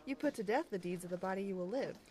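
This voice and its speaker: A woman's voice: medium pitch, very fast, a little mellow, slightly rough, close to an everyday voice. A woman playing a knowledgeable, precise squad medic in an audio drama. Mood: explaining.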